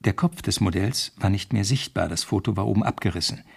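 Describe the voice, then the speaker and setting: A man playing a young police detective, narrating his case soberly and precisely in a radio drama, with a husky, creaky voice. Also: on the low side, brisk, full character voice. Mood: sober, measured. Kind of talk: first-person scripted radio drama narration.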